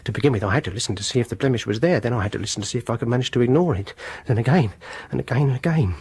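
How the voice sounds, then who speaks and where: An obsessive, menacing music lover, wildly swooping. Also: male voice, mid-range voice, expressive, racing, a little mellow, breathy edge. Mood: obsessive, sinister. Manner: pedantic, mock-reasonable, condescending. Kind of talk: radio horror drama acting.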